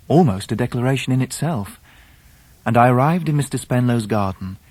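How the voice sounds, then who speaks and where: Actor as wry English Victorian gentleman, radio drama narration: medium pitch, very dry acoustic, measured. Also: breathy edge, no room sound, natural voice. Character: genteel, wry Victorian gentleman recalling his youth. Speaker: narrator in character.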